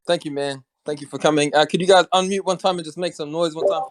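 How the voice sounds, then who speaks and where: A man's voice: a little high, steady tone, quick pace, no room sound. A young man, hosting a casual voice chat in a friendly, slangy way. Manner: friendly, slangy, calls people bro. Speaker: host.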